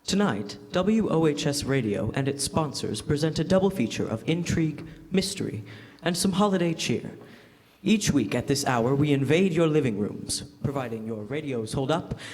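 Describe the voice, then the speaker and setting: A man's voice: fairly high pitch, animated, normal speed, darkish tone, natural voice. A young man playing a formal, theatrical announcer and narrator of a 1940s-style radio drama. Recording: echoey hall, live stage.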